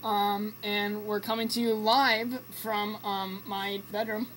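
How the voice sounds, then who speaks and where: A young co-host with a mid-pitched voice and faint room noise behind. They banter casually on an amateur comedy radio show, hesitant and unpolished like a sidekick. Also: flat delivery, slow pace, a bit bright, poor audio. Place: bedroom home studio.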